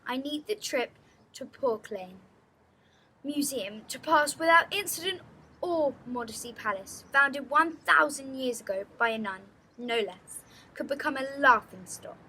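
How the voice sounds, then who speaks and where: A woman's voice: high-pitched, lively intonation, a little slow, sharp tone, slight background noise, heavily acted, rough recording. A woman voicing a stern, menacing, snobbish headmistress in a storybook, pompous and imperious as she gives orders. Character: stern, menacing, snobbish middle-aged headmistress of a posh school.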